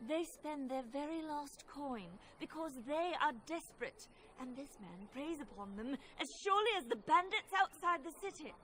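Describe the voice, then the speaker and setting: Stern high-voiced priestess. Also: female voice, animated, normal speed, hardly any pauses, pushed and bright, rough recording. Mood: righteous indignation. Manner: stern, accusing, formal. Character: righteous, indignant priestess defending desperate villagers. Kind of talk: video game cutscene acting.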